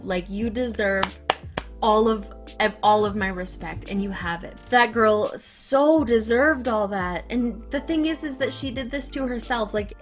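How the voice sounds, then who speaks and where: A woman reading online stories aloud, then reacting to them with loud, animated outbursts. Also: medium pitch. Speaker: story narrator.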